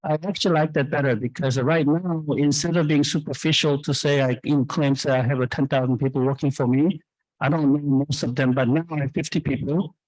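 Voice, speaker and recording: A man's voice: on the high side, steady tone, a little fast, darkish tone, no room sound, low-quality recording. Man from South Korea, fifties, candid rambling answers, dry sound. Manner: candid, rambling, many fillers and restarts. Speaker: startup founder and CEO speaking at an event. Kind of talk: answering audience questions on stage.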